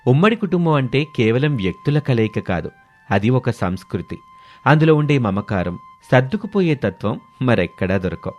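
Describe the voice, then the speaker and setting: A man's voice: fairly low pitch, a little mellow. A man narrating a scripted moral family story for listeners.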